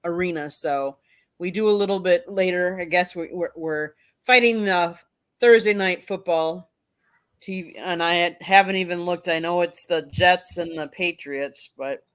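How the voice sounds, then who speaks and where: Lower-voiced woman co-hosting sports talk. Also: unhurried. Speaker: sports talk show co-host. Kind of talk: casual sports chat.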